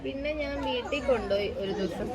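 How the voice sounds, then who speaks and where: A woman's voice: a little high, brisk, crowd noise. A young woman chatting casually among friends, thinking aloud with English words mixed in. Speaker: friend of the host. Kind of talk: casual group chat.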